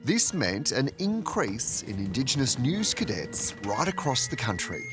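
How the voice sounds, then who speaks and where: A man's voice: medium pitch, sing-song, a little slow, loud music behind the voice. A man with an Australian accent reading scripted narration as the presenter of a documentary.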